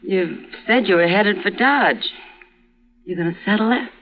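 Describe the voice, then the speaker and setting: A woman's voice: mid-range voice, a little slow, natural voice. An actress playing a firm, dry-witted woman who owns a saloon, on a dull old radio recording. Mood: wry. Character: tough, caring woman saloon owner.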